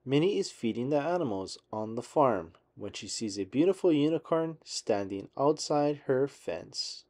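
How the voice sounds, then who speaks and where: A man reads a storybook aloud for small children. His voice is mellow and moves up and down animatedly, with measured, expressive phrasing. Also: mid-range voice. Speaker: children's book narrator.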